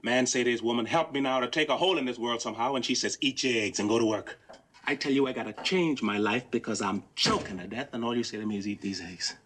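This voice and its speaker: A man's voice: medium pitch, fast, fairly bright tone, close to an everyday voice. A man playing a frustrated, restless working-class husband and dreamer in his thirties in a stage drama. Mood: bitter, pleading. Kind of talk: dramatic acting.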